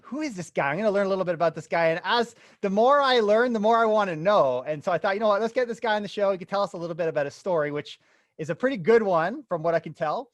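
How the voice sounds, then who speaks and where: A man's voice: sits high, expressive, quick pace, no room sound. A young man hosting a real estate podcast, introducing his guest with enthusiastic, casual energy. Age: young adult. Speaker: podcast host. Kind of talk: upbeat podcast intro and interview.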